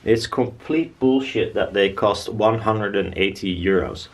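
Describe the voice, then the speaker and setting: A man's voice: on the low side, unhurried. A man talking casually and bluntly as he presents his own DIY build, rambling informally. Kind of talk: casual workshop chat.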